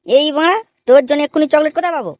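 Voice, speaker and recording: A woman's voice: high voice, very fast, dry recording, lightly acted. A woman voicing a stingy, put-upon cartoon husband who grumbles, swears crudely and makes excuses. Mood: defensive, annoyed. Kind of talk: comic cartoon dialogue.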